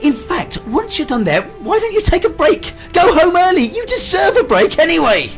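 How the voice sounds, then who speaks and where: A man's voice: very high voice, huge pitch swings, normal speed, fairly bright tone, soft background music, exaggerated voice. A man playing a troubled hospital doctor in a radio drama, polite but menacing, with stiff formal phrasing. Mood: falsely kind.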